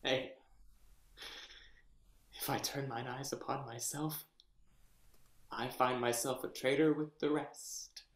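An actor playing a deposed young king, bitter and theatrical, in a play reading over a video call, swinging from hushed whispers to anguished shouts. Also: mid-range voice, fairly even intonation, takes it slowly, pauses often, echoey room. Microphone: webcam on a video call.

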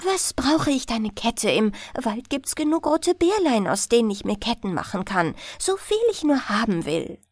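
A woman voicing a wary, quick-witted small child in a fairy tale, speaking firmly and plainly. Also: on the high side, sing-song, dry recording, clean studio sound. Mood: dismissive.

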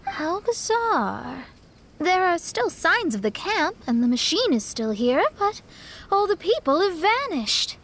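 A woman's voice: very high-pitched, very animated, a little slow, a bit bright, heavily acted. A woman playing a proud, self-assured young astrologer mage in a video game, with a haughty manner. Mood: puzzled. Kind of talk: scripted video game dialogue.

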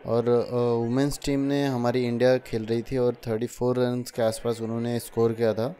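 A man's voice: medium pitch, flat delivery, moderate pace, mellow, slight background noise. A young man narrating his daily vlog in a chatty voice-over, often addressing viewers as friends. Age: young adult. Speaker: vlogger.

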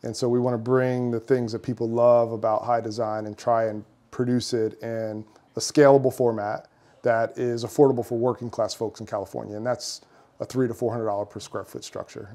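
Man in his 30s, mellow voice, earnest explainer, um-filled answers, office room. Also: mid-range voice, level tone, brisk. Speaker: company founder and builder. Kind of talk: interview answers. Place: office meeting room with high ceiling.

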